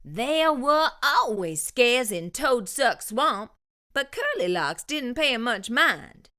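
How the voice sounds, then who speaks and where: Bright, animated woman reading aloud. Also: fairly high pitch, takes it slowly. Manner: animated, expressive storytelling. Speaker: children's book reader, channel host. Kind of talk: storybook read-aloud.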